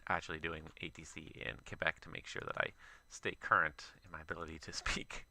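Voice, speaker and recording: A man's voice: extremely low pitch, fairly quick. A man working as a virtual air traffic controller, giving radio calls in hesitant non-native French with many "euh" fillers, then chatting casually with viewers on a headset mic. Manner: crisp, loud controller calls, then quieter relaxed chat.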